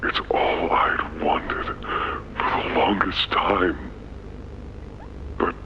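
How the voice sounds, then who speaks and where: An actor playing a weary, scared but gentle monster leader in a video game, confiding haltingly into a recorder. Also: male voice, sits low, gravelly, exaggerated voice. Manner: halting, hesitant, simple-worded confiding. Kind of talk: video game audio log monologue. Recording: dull, noisy lo-fi tape recording.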